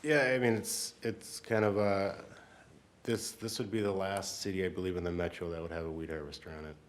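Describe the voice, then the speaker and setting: A man speaking as a city staff member, explaining things at a council meeting in an informal, halting way. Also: sits low, fairly even intonation.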